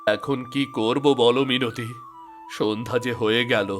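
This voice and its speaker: Male actor as an earnest cartoon farmer husband with a mid-pitched, plain voice. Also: steady tone, a little slow, fairly bright tone, soft background music, lightly acted.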